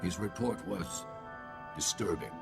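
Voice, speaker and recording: A man voicing a stern, terse alien leader in a video game, speaking formally in clipped, grave sentences with a gravelly voice. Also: sits low, expressive, measured pace, exaggerated voice. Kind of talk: video game cutscene acting. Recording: loud music under the voice.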